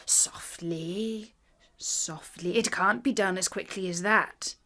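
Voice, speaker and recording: A merry, cunning little tailor from a storybook, voiced by a woman. He boasts and teases at an unhurried pace, sure of himself. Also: medium pitch, sharp tone. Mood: teasing. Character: small merry, cunning, cocky male tailor.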